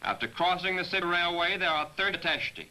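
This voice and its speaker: A man narrating a scripted military training film as a briefing, in a measured, directive manner, on a 1940s film soundtrack. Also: high voice, expressive, sharp tone, rough recording. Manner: measured, directive, addressing aircrews. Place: voice-over recording for a 1943 film.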